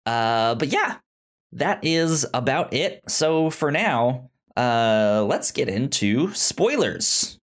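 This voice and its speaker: A man's voice: mid-range voice, very animated, takes it slowly. A man co-hosting a podcast, chatting casually and often stammering over repeated words. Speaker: podcast co-host. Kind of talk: casual film podcast chat.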